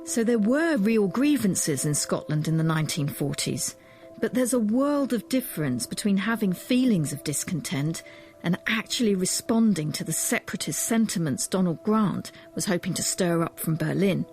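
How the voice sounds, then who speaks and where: A woman presenting a documentary as a historian, in measured, articulate scripted narration. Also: mid-range voice, animated, a little mellow, faint music behind.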